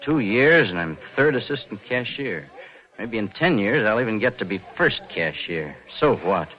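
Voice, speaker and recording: An American actor playing a cold, scheming bank cashier in a hard-boiled 1940s radio drama, brooding and insinuating. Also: male voice, lowish voice, very animated, moderate pace. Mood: moody, persuasive. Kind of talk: 1940s radio noir acting, first-person narration and dialogue. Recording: dull vintage radio recording with music.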